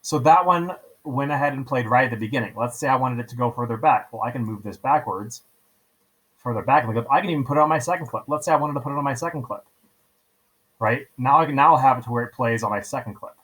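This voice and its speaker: A man's voice: medium pitch, fast talker, fairly bright tone, breathy edge, low-quality recording. A man teaching in an informal screencast style, explaining step by step, repeating himself and often checking in with "right?". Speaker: teacher giving a software tutorial. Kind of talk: screen-recording tutorial, casual step-by-step instruction.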